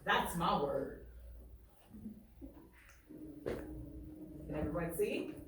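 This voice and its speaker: Expressive woman teacher in an echoey room. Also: lowish voice, very slow. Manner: emphatic, often checking with "right?" and "all right?". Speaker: teacher reading aloud to a class. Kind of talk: expressive classroom read-aloud with questions to pupils. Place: echoey classroom, mic at a distance. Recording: roomy echo, distant mic, faint noise.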